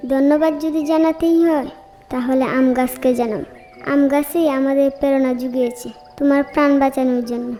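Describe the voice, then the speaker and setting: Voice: high-pitched, steady tone, normal speed, dark tone, faint music behind, natural voice. A performer voicing kindly, polite talking nature characters, a queen bee and a mango tree, in a children's fairy tale cartoon. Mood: gracious.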